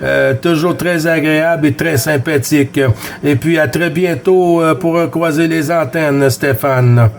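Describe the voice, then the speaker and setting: Québécois man, CB net operator, unhurried and fairly high voice, chatty and courteous. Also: steady tone, creaky. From Quebec, Canada. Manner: friendly, chatty, courteous, often repeats himself. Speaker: CB radio operator running a contact net. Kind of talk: CB radio contact chat.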